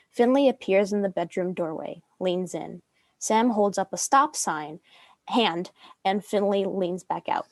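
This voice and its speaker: A woman's voice: medium pitch, a little mellow, no echo. A young woman reading screenplay stage directions as a narrator. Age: young adult.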